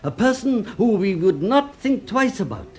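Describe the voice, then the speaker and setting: Radio drama police inspector, Egyptian, formal and insistent, highish, swooping voice. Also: male voice, big pitch swings, moderate pace. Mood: urgent, knowing. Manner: formal, precise, slightly foreign phrasing.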